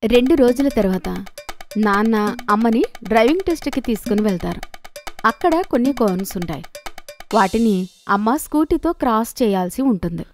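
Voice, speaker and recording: A woman's voice: a little high, lively intonation, brisk, long pauses, soft background music. A woman reading scripted narration for a children's story in speech.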